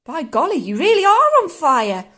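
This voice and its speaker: An eager young grandson from a children's storybook, voiced by a woman. He speaks in a high, lively voice, polite but coaxing and excitable. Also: a little slow, heavily acted. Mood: excited. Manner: bright-eyed, coaxing, excitable.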